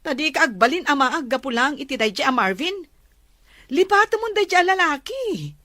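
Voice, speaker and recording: Actress as high, animated, scolding Filipina friend. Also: female voice, a little fast, dry recording, clean studio sound, heavily acted. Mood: scolding, insistent. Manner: emphatic, admonishing. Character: exasperated, protective female friend lecturing on self-love. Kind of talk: emotive audio drama acting.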